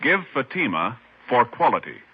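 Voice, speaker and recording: Lively husky male vintage radio announcer. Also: medium pitch, normal speed. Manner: emphatic, slogan-like delivery. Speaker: program announcer. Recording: dull old radio broadcast.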